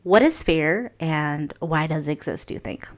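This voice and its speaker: A young woman co-hosting a relaxed podcast, her voice sitting a little low. She rambles through her thoughts with plenty of "um"s and restarts, trailing off softly. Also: normal speed. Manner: rambling, many fillers and restarts. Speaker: podcast co-host. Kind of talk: casual podcast chat.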